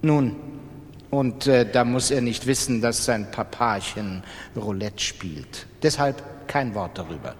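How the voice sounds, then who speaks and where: Actor as confiding letter-writing gambler, reverberant hall. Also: male voice, mid-range voice, measured pace, a little hiss or hum, close to an everyday voice. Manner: confiding, conversational, articulate. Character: male 19th-century gambling writer reading his confiding letter. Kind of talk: dramatic literary reading of a letter.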